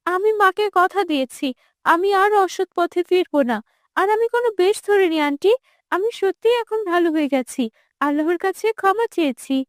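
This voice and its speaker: Woman playing an anxious, high-voiced repentant young woman. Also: lively intonation, normal speed, dry recording, clean studio sound. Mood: earnest, defensive. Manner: pleading, earnest.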